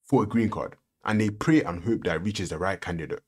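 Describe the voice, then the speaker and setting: A man's voice: lowish voice, brisk, darkish tone, breathy edge. A man hosting a reaction video, giving sarcastic, lecturing commentary full of rhetorical questions.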